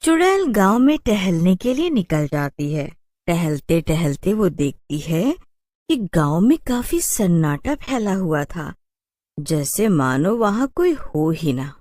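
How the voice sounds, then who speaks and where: Slow-paced woman narrating a story. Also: fairly low pitch, big pitch swings, a little mellow, no echo, clean studio sound.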